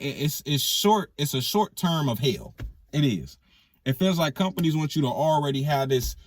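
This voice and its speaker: A man's voice: fairly high pitch, no echo. American man, casual vlog rant, dry close sound. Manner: informal, emphatic, repeats phrases for stress. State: losing his voice, getting sick. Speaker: online vlogger. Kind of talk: casual opinion rant to camera.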